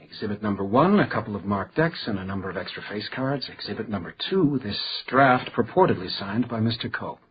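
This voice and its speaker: A man's voice: fairly low pitch, lively intonation, fairly quick, few pauses, muffled recording, slight background noise, natural voice. A man playing an exacting, condescending magistrate in a radio crime drama, curt and formal in his speech. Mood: stern, businesslike. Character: imperious, exacting male magistrate, sarcastic and impatient. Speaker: actor in a radio detective drama.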